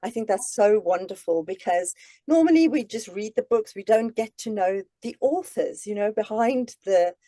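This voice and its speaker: A woman from Namibia hosts an author interview over a video call, eager and encouraging. Her tone is warm and mellow, and the sound is close and dry with no room echo. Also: mid-range voice, expressive, normal speed. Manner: encouraging, enthusiastic. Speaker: host interviewer. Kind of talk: friendly interview hosting.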